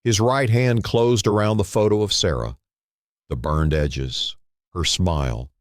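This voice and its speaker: Very deep, slow male narrator. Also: fairly even intonation, dry recording, clean studio sound. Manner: solemn, halting delivery in short phrases. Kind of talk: dramatic scripted story narration.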